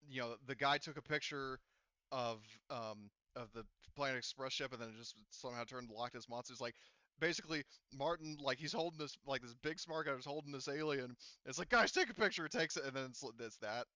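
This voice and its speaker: A man narrating a tabletop roleplaying game as game master, casual and halting, with the odd swear word. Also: medium pitch, pushed and bright, clean tone, dry recording, muffled recording.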